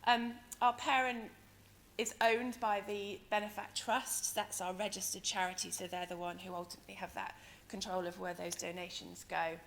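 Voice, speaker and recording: A woman with a croaky, hoarse voice giving a conference presentation as a company director, explaining things in a hesitant, wordy way. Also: mid-range voice, level tone, moderate pace, fairly bright tone. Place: conference venue in London, speaking to an audience. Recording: noisy conference hall ambience.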